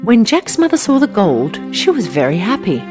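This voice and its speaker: A woman's voice: medium pitch, huge pitch swings, moderate pace. A woman narrating a scripted children's story as a storyteller. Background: background music.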